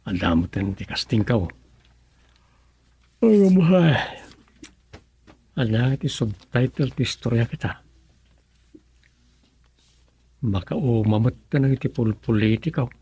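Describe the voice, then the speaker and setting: A man acting an opinionated townsman in a radio comedy drama, with an Ilocano accent and a gravelly, animated, colloquial delivery. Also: medium pitch, moderate pace, long pauses.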